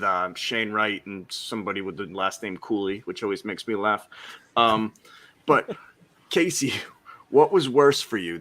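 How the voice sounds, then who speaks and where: A man's voice: fairly low pitch, sharp tone. A man hosting a casual sports podcast, joking and teasing his co-hosts as he steers the talk. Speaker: podcast host.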